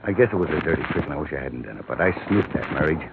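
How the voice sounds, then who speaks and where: An actor playing a gruff, reluctant confidant in a 1950s radio crime drama, blunt and conversational while holding back. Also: male voice, rumbling low, lively intonation, rapid-fire, heavily acted. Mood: reluctant, cautioning. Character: gruff, reluctant friend of a dead policeman. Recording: muffled, noisy old radio recording.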